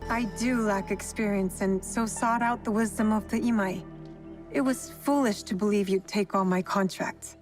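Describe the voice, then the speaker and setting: A woman's voice: mid-range voice, steady tone, moderate pace, close to an everyday voice. An actress playing a composed, bold young woman who poses as a merchant in a video game, poised, polite and direct. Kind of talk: video game cutscene acting. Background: background music.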